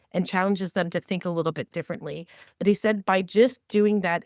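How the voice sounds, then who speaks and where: A woman's voice: fairly low pitch, smooth, no room sound, clean studio sound. A woman co-hosting a podcast, giving conversational advice in an enthusiastic, emphatic way and acting out the remarks she quotes. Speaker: podcast co-host.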